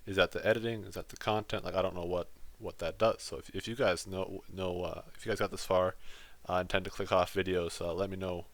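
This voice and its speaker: A man hosting a gaming channel, talking through his let's play footage in a casual, rambling monotone. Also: low-pitched, a little fast. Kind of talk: let's play commentary voice-over.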